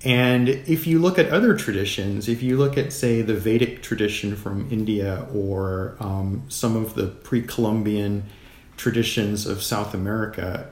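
A middle-aged man speaking as a guest in a reflective literary discussion, in a measured, philosophical manner. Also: fairly low pitch. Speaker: guest, writer. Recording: roomy echo.

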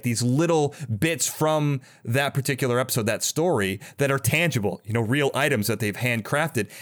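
A man hosting a podcast interview, chatting casually in an enthusiastic, rambling and affirming way. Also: medium pitch. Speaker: podcast host.

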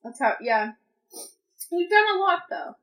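A woman's voice: very high voice, very animated, fairly bright tone, poor audio. A young woman co-hosting a podcast in casual chat, tossing in playful quips. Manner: playful quips, quick to laugh. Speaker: podcast co-host.

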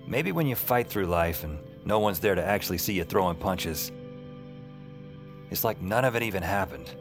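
A low-voiced, world-weary man narrates and talks in a noir audio drama. He is wry and deadpan, like someone on a long night shift, with music playing behind him. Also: moderate pace. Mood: brooding, wry. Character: world-weary male night-shift emergency dispatcher who sees strange things.

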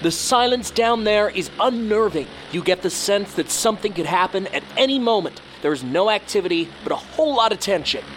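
A man's voice: high-pitched, animated, fairly quick, hardly any pauses. A man playing an uneasy field reporter in a science fiction audio drama, giving a tense dispatch. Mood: tense, hushed awe. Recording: noisy, drone motor hum. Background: vehicle motor drone.

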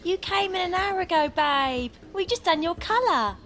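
Bossy, over-familiar hairdresser, British, audio comedy drama. Very high, lively delivery. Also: female voice. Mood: chatty, cheerful. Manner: gushing, familiar, brisk. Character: chatty, bossy, gushing female hairdresser.

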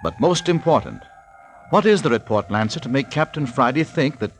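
A man's voice: medium pitch, animated, moderate pace, slight background noise. A man announcing a radio serial with dramatic, teasing questions.

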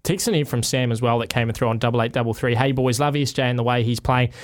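A man's voice: mid-range voice, steady tone, quick pace. A man with a New Zealand accent hosting a sports radio show in casual, bantering style.

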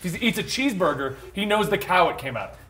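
A man with a notably high voice runs a friendly game and explains a comic character's odd power. He laughs easily and keeps a light, relaxed tone. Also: fast. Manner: amused, giggly. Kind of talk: casual banter, judging a game.

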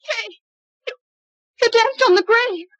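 A woman's voice: extremely high pitch, measured pace, a bit bright, no room sound. A woman playing a timid, naive, superstitious young woman in a girlish, anxious old-time radio drama style. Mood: terrified, hysterical. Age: young adult.

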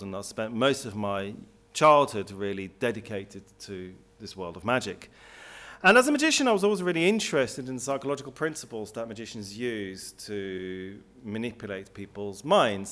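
A man's voice: fairly low pitch, a bit bright. A middle-aged man from Switzerland giving a public lecture, speaking emphatically with occasional restarts. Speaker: guest speaker.

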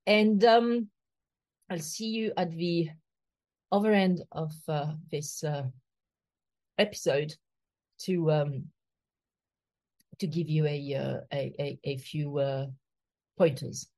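A woman's voice: sits low, slow pace, pauses often, a little mellow. A woman hosting her podcast in casual interview style, speaking hesitantly with many fillers and restarts. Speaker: podcast host. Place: home in London.

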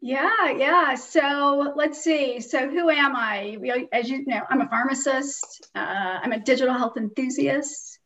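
A woman's voice: high-pitched, measured pace, hardly any pauses, fairly bright tone. A middle-aged woman with an American accent, telling her story casually as a podcast guest on a video call. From US Midwest. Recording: distant, dull lo-fi call audio.

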